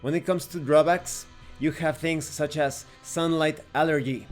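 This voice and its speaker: A man's voice: fairly high pitch, fairly even intonation, unhurried, faint music behind. A man narrating a scripted tabletop game review in a methodical, explanatory way, as the host.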